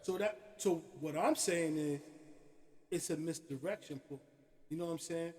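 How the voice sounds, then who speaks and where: A man hosting a podcast, ranting with animated, profane energy and checking in with his co-host as he goes. His voice sits high for a man. A long, hall-like reverb trails each phrase. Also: moderate pace. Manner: animated, profane, repeats "you know what I'm saying". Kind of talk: opinionated casual rant.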